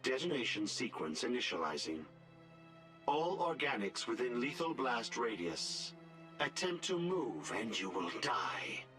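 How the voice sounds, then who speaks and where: A man voicing a defiant, coldly bitter artificial intelligence in a video game, speaking in formal, measured, clinical language. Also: mid-range voice, sing-song, a bit bright, soft background music. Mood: cold, threatening. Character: illegal sentient AI, coldly bitter, defiant, resigned to die.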